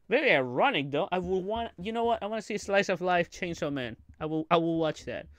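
A man's voice: high-pitched, animated, moderate pace, long pauses, a bit bright, dry recording. A man streaming a live reaction to anime clips, rambling casually with crude jokes and frequent giggling.

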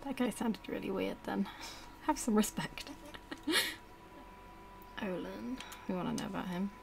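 Woman gamer over loud game noise. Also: medium pitch, unhurried, gravelly. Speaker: gamer commentating own play. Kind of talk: casual let's play commentary. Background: video game sounds.

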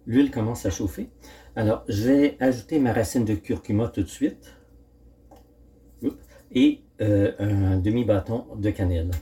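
A middle-aged man, hosting a casual cooking demonstration at home and thinking aloud with frequent pauses. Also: fairly low pitch, dark tone. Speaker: home cooking channel host. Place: home kitchen, indoors.

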